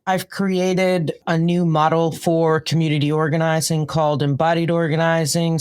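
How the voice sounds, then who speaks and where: A woman with a New York accent, explaining her work as a guest in an interview. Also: low voice. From New York, United States. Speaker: podcast guest, consultant and trainer.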